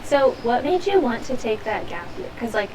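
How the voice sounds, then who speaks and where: A woman hosts a casual interview, asking her guest questions and cheering her answers on with quick replies. Her voice sits slightly high, and a faint hiss runs behind the recording. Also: sing-song. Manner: encouraging, quick backchannels.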